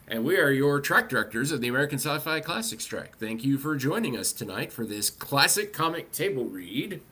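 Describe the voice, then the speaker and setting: A man's voice: medium pitch, sing-song, a little fast, sharp tone. A man hosting a panel as track director, introducing guests in casual, chatty talk.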